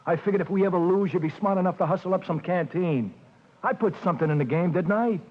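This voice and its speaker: A man's voice: sits high, quick pace. An actor playing a defensive, streetwise young male inmate with slangy talk, in 1940s radio acting style. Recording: dull old radio recording.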